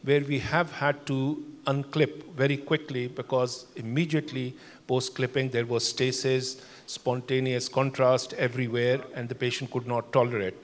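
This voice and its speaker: An older man, a cardiologist on a conference panel, explaining cases in a measured way with many fillers. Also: mid-range voice, level tone. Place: large conference hall. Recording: long hall reverb.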